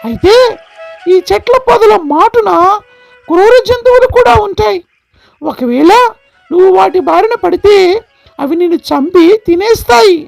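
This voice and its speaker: Man acting a caring mother deer, high voice, gentle warnings, soft music. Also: expressive, normal speed, vocal fry, long pauses, dry recording, exaggerated voice.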